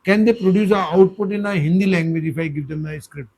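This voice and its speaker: A man, the host's father, reading aloud and asking measured, formal questions that check his understanding. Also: high voice, creaky, a little mellow, a little hiss or hum.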